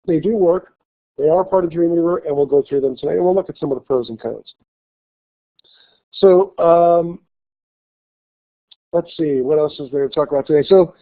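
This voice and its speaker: Man lecturing with a slight cold, tinny recording. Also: fairly high pitch. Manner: halting, many fillers and restarts. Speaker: college instructor. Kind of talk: classroom lecture.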